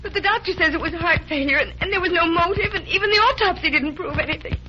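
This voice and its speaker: A woman's voice: extremely high pitch, fast, soft background music. A woman playing a frightened, earnest young heiress, pleading in emotional 1940s radio drama style. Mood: tearful, desperate. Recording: muffled, noisy 1940s radio recording.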